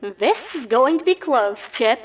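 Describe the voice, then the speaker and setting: A woman voicing an excitable pony sports announcer with hyped, showy broadcast delivery in a comedic story reading. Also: high-pitched, measured pace, pitched above the performer's own voice, poor audio. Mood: upbeat, showy.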